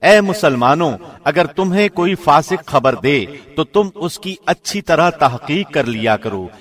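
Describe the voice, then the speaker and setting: A man's voice: fairly high pitch, lively intonation, soft background music. A man reading a scripture translation from a script, in the solemn style of a radio presenter.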